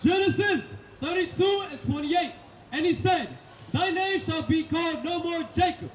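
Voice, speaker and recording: Very high-pitched man shouting out scripture, echoey. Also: expressive, normal speed. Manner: projected, shouted delivery. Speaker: scripture reader. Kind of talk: loud declamatory Bible reading. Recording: echoey, distant, slightly noisy live recording.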